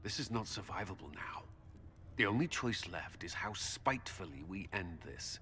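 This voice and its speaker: A stern military commander barks clipped orders in a bright, forceful voice. His grim words trail off into a long, echoing hall reverb. He is a leader in a video game cutscene. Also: low voice, lively intonation, normal speed, slight background noise.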